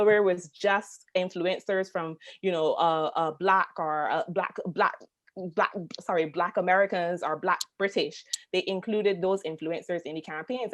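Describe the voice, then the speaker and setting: A Barbadian woman with a slightly low voice, talking earnestly on a panel video call. She speaks in a loose, conversational way, using plenty of fillers. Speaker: panelist, travel marketing agency founder. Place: home, UK.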